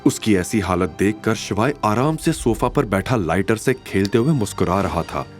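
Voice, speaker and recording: A man with a fairly low voice narrates a dramatic audio story. His delivery is scripted storytelling. Soft music sits behind him. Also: animated, moderate pace.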